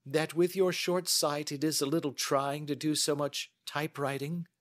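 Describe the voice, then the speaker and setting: A man's voice: on the high side, level tone, normal speed, dry recording, studio quality, voice pushed up, natural voice. A man voicing a self-assured, analytical male detective in an audiobook. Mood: amused, assured. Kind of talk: audiobook character dialogue.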